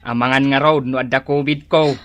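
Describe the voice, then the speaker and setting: A dry, close recording of a tense, bright male voice from an actor as a fretful husband in an Ilocano radio drama. He worries melodramatically, with an Ilocano accent. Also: mid-range voice, steady tone, a little fast, dry recording, lo-fi sound, close to an everyday voice. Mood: anxious. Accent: Filipino, Ilocano. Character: fretful, fearful husband fussing over his sore throat.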